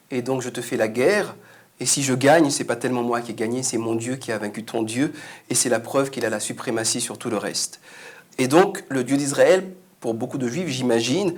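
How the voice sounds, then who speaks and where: A man explains ideas at a Bible study discussion. He speaks in a measured, explanatory way. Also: mid-range voice. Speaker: discussion participant.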